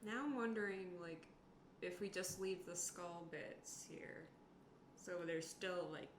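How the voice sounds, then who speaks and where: A woman's voice: fairly low pitch, unhurried. A woman casually talking through her moves as a tabletop game player. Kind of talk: casual chat during a game. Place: indoor room. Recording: echoey room with faint noise.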